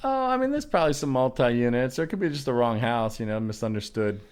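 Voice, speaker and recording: A man's voice: medium pitch, expressive, brisk, a little mellow, clean tone. A man co-hosting a comedy podcast, chatting casually and reading out personal ads.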